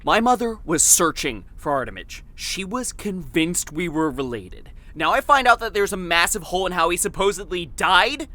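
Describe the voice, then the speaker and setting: An actor playing an exhausted, obsessed male investigator in an audio drama, brooding and halting as he records his confessional log. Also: high voice, very animated, pushed and bright, exaggerated voice. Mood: agitated, defensive.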